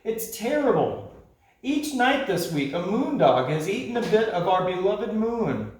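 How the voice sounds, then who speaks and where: A man's voice: high voice, big pitch swings, measured pace, voice pushed up. A man voicing whimpering, timid jungle critters. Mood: pouting. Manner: whimpering, pleading. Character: timid, whiny chorus of jungle night creatures. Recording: echoey room.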